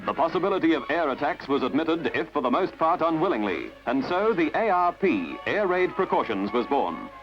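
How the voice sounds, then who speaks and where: A man narrates a scripted newsreel in a dramatic, measured, slightly wry way. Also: fairly high pitch, big pitch swings. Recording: dull lo-fi archival film soundtrack with music. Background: prominent music.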